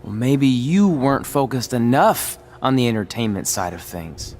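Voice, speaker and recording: A man's voice: mid-range voice, sing-song, moderate pace, a little mellow, natural voice. An actor playing a cocky, wisecracking young male wrestling trainee in video game dialogue. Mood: defensive. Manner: flippant, wisecracking.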